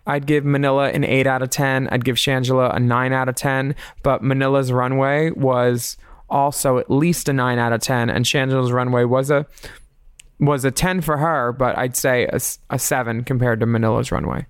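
A man's voice: mid-range voice, fairly even intonation, moderate pace, smooth, dry recording, studio quality. A man hosting a casual recap podcast, campy and snarky, often laughing at his own jokes. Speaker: podcast host.

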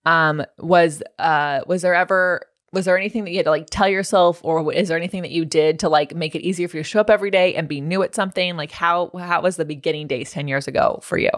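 A woman's voice: fairly low pitch, quick pace, few pauses, dry recording, clean studio sound. A woman hosting a podcast, asking questions casually and affirming her guest, often laughing. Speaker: podcast host.

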